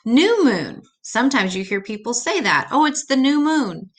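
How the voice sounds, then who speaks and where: A woman's voice: on the high side, sing-song, normal speed. An older woman reading an educational children's book aloud, adding simple, patient explanations as she goes.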